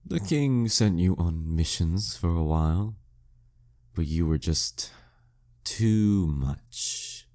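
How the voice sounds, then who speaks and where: A man's voice: deep voice, mellow. A man playing a proud, dominant demon king in an audio roleplay, teasing and condescending in an intimate scripted monologue. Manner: slow, teasing, condescending, smugly theatrical. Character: dead male demon king, taunting, dominant, proud former nemesis.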